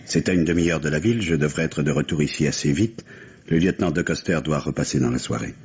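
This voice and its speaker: An actor playing a grave, bitter widower in a French mystery radio play, with measured, dramatic delivery. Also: male voice, a bass voice, darkish tone, slightly rough, heavily acted. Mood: courteous, preoccupied. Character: grieving, bitter New York widower hunting his wife's killer. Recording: dull, hissy vintage radio recording.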